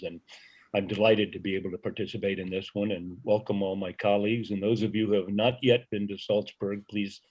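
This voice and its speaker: An older man speaking as a panelist, hesitant with many pauses and fillers. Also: on the low side, darkish tone, husky, poor audio. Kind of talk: panel discussion.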